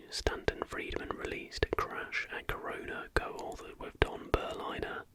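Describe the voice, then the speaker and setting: Man, breathy unvoiced whisper, ASMR narration, soft-spoken, informal host.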